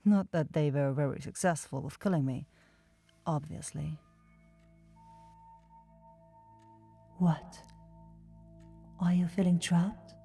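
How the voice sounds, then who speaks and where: Low, teasing vampire girl, acted by a woman. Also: gravelly, mellow, husky, faint music behind, heavily acted. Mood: teasing, confident. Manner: intimate, slow, coaxing, taunting. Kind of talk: ASMR roleplay acting. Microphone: close microphone, dry studio sound.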